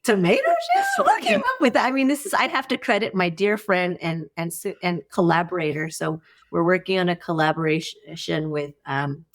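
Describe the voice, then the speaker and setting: Female podcast guest, middle-aged, lower-pitched voice, casual and expressive delivery. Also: normal speed. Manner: expressive, informal, peppers talk with "like" and "you know". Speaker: guest, farmer and former academic. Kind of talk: casual, conversational podcast chat.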